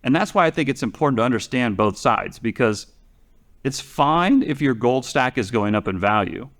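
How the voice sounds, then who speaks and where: A man's voice: on the low side, fairly bright tone. A man speaking as a podcast commentator, explaining things conversationally in a rambling way, often restarting and repeating phrases for emphasis.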